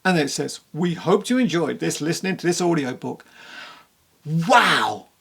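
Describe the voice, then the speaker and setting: A person giving a casual spoken book review to camera in a candid, rambling, conversational way, with a creaky voice. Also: mid-range voice, animated, measured pace, fairly bright tone.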